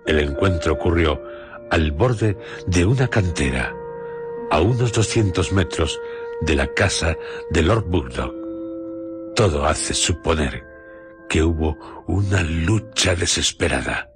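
Very deep, slow, suspenseful male narrator. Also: animated. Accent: Spain. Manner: solemn, suspenseful, broken into short phrases. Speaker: narrator and presenter of radio drama series. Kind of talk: dramatic scripted radio narration. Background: music.

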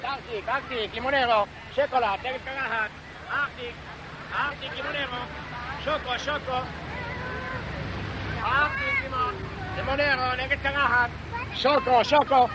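An actor in a radio play doing a very high, shrill voice that babbles half-gibberish in a singsong way. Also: male voice, fairly even intonation, measured pace, pauses often, over a noisy crowd, very noisy. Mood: babbling.

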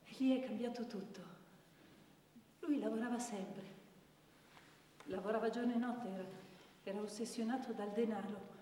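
An actress as a haunted, battered wife in a stage monologue. Her fairly high voice carries the weariness of someone worn down. It comes from off in a big, reverberant hall. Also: steady tone, normal speed, long pauses, dark tone, lightly acted. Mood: bitter, weary. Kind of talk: stage monologue with chanted counting rhymes. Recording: distant echoey hall recording, faint noise.

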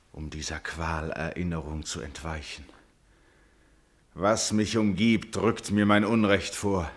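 An actor playing a remorseful, grief-stricken king and father in a classical German radio tragedy, declaiming solemn verse. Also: sits low. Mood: haunted grief. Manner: solemn, declamatory, swelling from hushed to loud.

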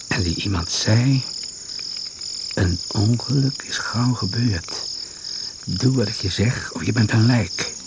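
Low-voiced, wry older investigator, noisy recording. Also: male voice. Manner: wry, reasoning step by step. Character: older male investigator in the jungle, shrewd, wry, fatherly. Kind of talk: Dutch radio drama acting. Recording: noisy old radio play recording.

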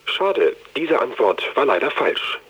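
A man's voice: mid-range voice, big pitch swings, a little slow, a bit bright, breathy edge, exaggerated voice. A man voicing an automated telephone quiz announcer, giving callers scripted instructions over a phone line. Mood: instructive. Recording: narrow, muddy telephone line sound.

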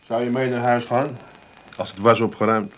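An actor playing a scheming, coaxing working-class husband in a comedic radio play. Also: male voice, mid-range voice, fairly even intonation, normal speed, fairly bright tone, close to an everyday voice, rough recording.

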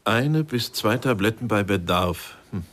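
An actor playing a measured, politely insistent police investigator in a radio crime drama. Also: male voice, fairly low pitch, lively intonation, fairly bright tone. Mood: measured, inquiring.

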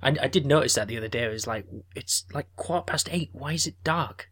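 A man's voice: medium pitch, fairly quick, fairly bright tone, breathy edge. A man around thirty, co-hosting a podcast in casual chat, joking and swearing freely. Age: 30s. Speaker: podcast co-host.

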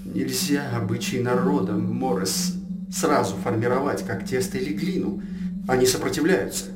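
An actor playing a cautious, legalistic royal chancellor in verse radio drama, declaiming formally and deliberately. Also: male voice, medium pitch, music in the background, lightly acted. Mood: gravely reasoning. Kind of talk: classical verse stage drama. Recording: roomy, distant radio-play recording.

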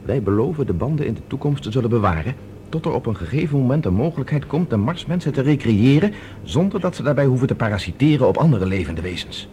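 An actor playing a calm, reasoning space expedition leader with a measured, decisive way of explaining, in a science-fiction radio drama. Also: male voice, medium pitch, natural voice. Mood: firm. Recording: noisy old radio drama recording.

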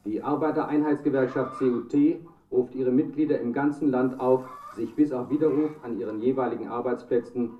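A man's voice: mid-range voice, fairly even intonation, distant mic, a little hiss or hum, lightly acted. A man acting a sober newsreader in a radio drama. His delivery is formal and factual. The sound is dull, reverberant and set back from the microphone. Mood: grave, factual. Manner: formal, measured. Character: sober male radio news announcer. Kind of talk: scripted news bulletin.

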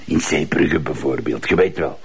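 A man's voice: rumbling low, normal speed, husky, heavily acted. A man with a Flemish accent playing a sardonic, threatening character with an old grudge in a TV crime drama. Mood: veiled menace. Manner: insinuating, threatening. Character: menacing, sardonic, suspicious male acquaintance nursing old grudges.

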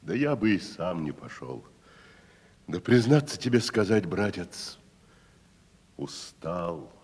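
An actor playing a proud, shabby middle-aged tragedian in a radio play, speaking pompously with declamatory dramatic pauses. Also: male voice, low-pitched, big pitch swings, a little mellow, breathy edge, exaggerated voice. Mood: weary, musing. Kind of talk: 1970s theatrical radio play acting. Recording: reverberant radio drama with faint music.

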